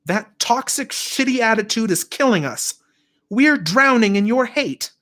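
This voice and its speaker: A man voicing an indignant, religious teenage girl with a high, accusing voice. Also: expressive, unhurried, a bit bright, full character voice.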